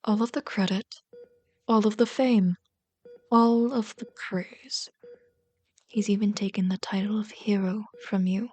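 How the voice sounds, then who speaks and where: A woman acts out a bitter villain hopelessly devoted to a hero's sidekick in an intimate ASMR roleplay. The delivery is slow and intense, at a middle pitch, often sinking into breathy whispers. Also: a little mellow. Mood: scornful, accusing.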